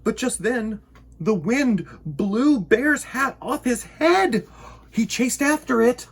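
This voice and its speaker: Male kids' storytime host: lively, swooping delivery, slightly rough-edged voice, direct to young listeners. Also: very high-pitched, takes it slowly. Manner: expressive, emphatic, addresses young listeners directly. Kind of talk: animated picture-book reading and chat to children.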